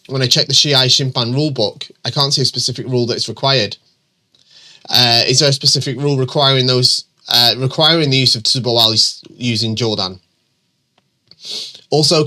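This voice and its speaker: A man's voice: mid-range voice, pushed and bright. A middle-aged man hosting a show and answering viewer questions in casual chat, his voice stuffy and bunged up from a cold, with lots of ums and restarts. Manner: chatty, many ums and restarts, reads questions aloud.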